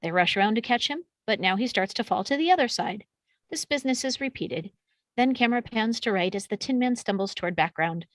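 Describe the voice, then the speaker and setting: A woman's voice: on the high side, animated, a little fast, dry recording. A woman reading out action and camera directions from a film script as scripted narration at a table read. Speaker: reader of stage directions.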